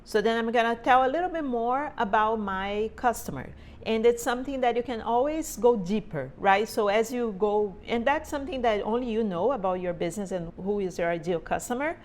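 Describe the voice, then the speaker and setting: A woman's voice: a little high, a little fast, hardly any pauses, a little hiss or hum. A woman in her forties from Brazil with a Brazilian Portuguese accent, speaking English as a second language, chatting informally to camera from her sewing studio and often checking in with "right?". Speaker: sewing teacher and small business owner. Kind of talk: casual tutorial talk to camera. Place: home sewing studio, indoors.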